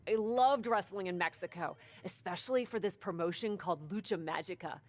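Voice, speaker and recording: A woman's voice: fairly low pitch, fairly quick, dry recording, natural voice. A woman playing an adventurous, self-assured wrestler in a video game, speaking in casual, persuasive banter. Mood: playful. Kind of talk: game cutscene dialogue.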